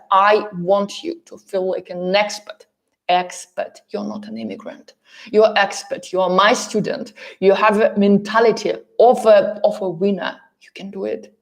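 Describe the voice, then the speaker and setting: A woman with a Russian accent speaks as a relocation coach in a motivational style. Her voice sits at an average pitch for a woman. She addresses listeners directly and emphatically, repeating key phrases to drive them home. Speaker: relocation coach and course host. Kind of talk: motivational coaching talk to camera.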